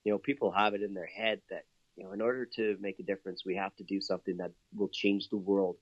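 A man's voice: sits low, steady tone, fast, no room sound, dull audio. A man from Canada, a guest in a casual interview, upbeat and chatty with plenty of fillers and laughter.

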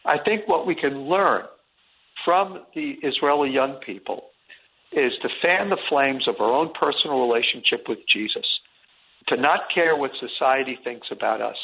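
A man's voice: mid-range voice, normal speed, a little hiss or hum. A middle-aged man speaking as an interview guest, earnest and rambling, restarting his sentences often. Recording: tinny phone line.

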